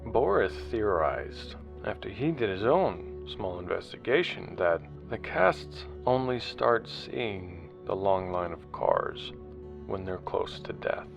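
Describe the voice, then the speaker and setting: A man acts an earnest, weary investigator in a sci-fi audio drama. His low voice has some gravel in it, and he talks haltingly, as if telling his findings to a recorder. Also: lively intonation, over music, heavily acted. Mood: intrigued, uneasy. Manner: halting, conversational, repeats words. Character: earnest, weary male investigator chronicling outcasts' histories. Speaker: narrator and interviewer. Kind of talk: audio drama narration and dialogue.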